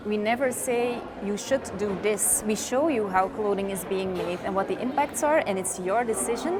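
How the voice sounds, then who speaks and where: A woman's voice: mid-range voice, normal speed. A woman whose first language is Dutch, answering interview questions as a sustainability consultant in an earnest, upbeat, step-by-step way. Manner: earnest, positive, explains step by step. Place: trade fair. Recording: busy crowd noise behind.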